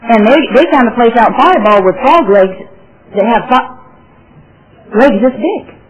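A woman's voice: on the high side, lively intonation, moderate pace, highs cut off. A woman from California with a Southern twang, telling her life story to an audience in a rambling, folksy way with many repeats and restarts. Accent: Southern American twang. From California, USA. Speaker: speaker sharing her recovery story with an audience. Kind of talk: personal testimony talk.

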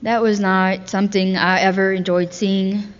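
A woman's voice: medium pitch, flat delivery, a little slow. An eighteen-year-old woman from Ukraine speaking earnestly and a little hesitantly about her childhood at a meeting. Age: late teens. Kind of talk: personal recovery talk. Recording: muffled recording in a large hall.

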